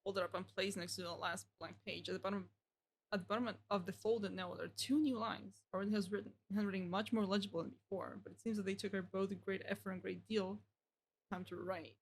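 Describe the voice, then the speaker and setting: A woman's voice: mid-range voice, steady tone, a little fast, a little hiss or hum. A woman streaming a game, reading its text aloud and reacting casually.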